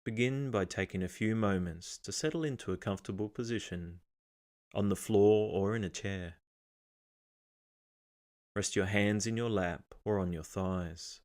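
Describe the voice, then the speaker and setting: A man with a fairly low voice leads a guided meditation. His delivery is calm and measured, giving gentle step-by-step instructions and leaving pauses between phrases.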